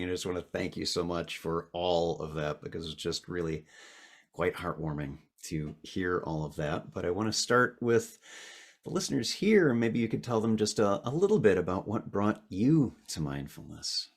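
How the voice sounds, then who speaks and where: A man hosting a mindfulness podcast, gentle and measured as he introduces his guest. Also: fairly low pitch, lively intonation. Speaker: podcast host, mindfulness teacher. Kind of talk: podcast interview host.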